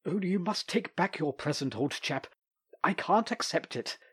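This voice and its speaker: A man voicing an excitable middle-aged male lawyer in a storybook reading, with gushing, squealing exclamations and a husky edge. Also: on the high side, unhurried, pitched above the performer's own voice, exaggerated voice. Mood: nervous.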